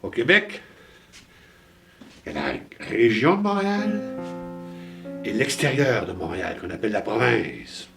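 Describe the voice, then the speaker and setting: A man's voice: mid-range voice, very animated, a little slow, creaky, pauses often. An older man with a Saguenay Québécois French accent, telling a playful, musing monologue about where he comes from. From Chicoutimi, Saguenay, Quebec. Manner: playful, musing, rising emphasis on place names. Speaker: storyteller host. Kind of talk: monologue with background guitar. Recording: music bed under voice.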